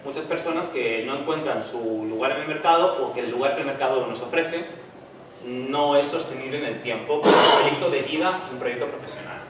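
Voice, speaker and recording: A man's voice: medium pitch, a little fast, far from the mic, heavy background noise. Man lecturing in an echoey hall. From Spain. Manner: informal, asks audience to interrupt, tag questions. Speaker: conference speaker. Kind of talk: university lecture talk.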